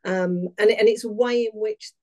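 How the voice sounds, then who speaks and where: A woman with a British accent and a croaky voice, giving an earnest webinar talk over a video call, with lots of ums. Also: medium pitch, steady tone, moderate pace, dark tone. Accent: British English. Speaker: lieutenancy staff. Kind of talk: webinar presentation.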